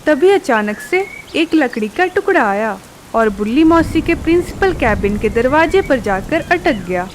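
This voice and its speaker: High-pitched woman narrating a children's story. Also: big pitch swings, a little slow, a little hiss or hum.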